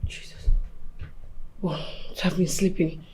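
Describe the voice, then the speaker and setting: A drowsy wife softly pleading with her husband. Her voice is low and mellow, and she speaks very slowly. Faint music sits under her lines. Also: steady tone, slight background noise. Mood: sleepy. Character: sleepy, apologetic wife. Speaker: actor.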